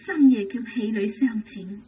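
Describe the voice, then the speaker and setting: 一位女演员以香港粤语口音饰演一位二十五岁左右、神秘娇媚的大户少妇，语气温婉撩人，老式广播剧录音。. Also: female voice, fairly high pitch, measured pace, natural voice. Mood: gracious. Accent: Hong Kong Cantonese. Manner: soft, coquettish, genteel. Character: alluring mysterious young noblewoman of twenty-five. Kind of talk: vintage radio drama acting. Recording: tinny old radio broadcast with hiss.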